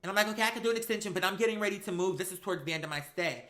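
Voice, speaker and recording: Bright, sassy American live-stream storyteller. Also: medium pitch, very fast. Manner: sassy, emphatic, slangy, acting out remembered exchanges.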